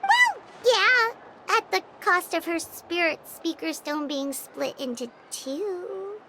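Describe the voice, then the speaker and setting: A woman voicing a tiny, childlike, chirpy female fairy companion in an anime video game, squeaky and eager. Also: big pitch swings, takes it slowly, heavy background noise, exaggerated voice. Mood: sheepish. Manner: refers to self in third person, eager. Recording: loud music behind.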